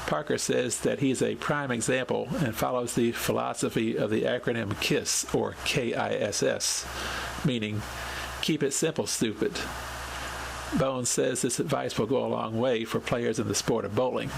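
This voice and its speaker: A man reading a scripted account as a podcast host, in a measured storytelling delivery. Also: mid-range voice, steady tone, gravelly, noisy background.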